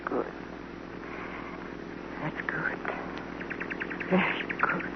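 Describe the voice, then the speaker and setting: Slow, faint dying outlaw woman. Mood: weak, remorseful. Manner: faint, halting, weary. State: weakening, near death. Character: dying, remorseful female outlaw, lonely and tender. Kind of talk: 1950s radio drama acting. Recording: dull, noisy old radio recording.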